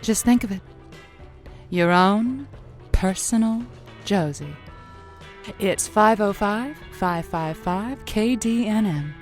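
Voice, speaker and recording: A woman's voice: mid-range voice, lightly acted. A woman playing a chatty, flirty radio matchmaking host with a playful, theatrical delivery in an audio drama. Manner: theatrical, playful, wordplay-loving.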